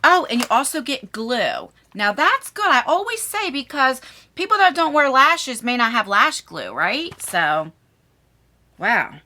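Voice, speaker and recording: Animated, bright-voiced woman chatting. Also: sits high, unhurried. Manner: effusive, talks to viewers and to the items. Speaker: beauty vlogger. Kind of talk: casual chatty product unboxing.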